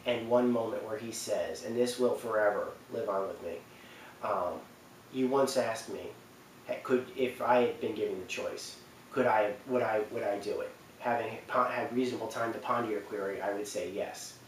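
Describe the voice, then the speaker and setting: Low-voiced man in very echoey room. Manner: halting, emphatic, repeats himself. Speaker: video host. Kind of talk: informal commentary on game cutscenes. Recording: echoey room, distant mic, faint noise.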